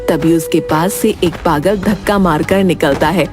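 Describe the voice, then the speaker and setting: A woman's voice: fairly low pitch, moderate pace. A woman narrating a scripted cartoon moral tale as its storyteller. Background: background music.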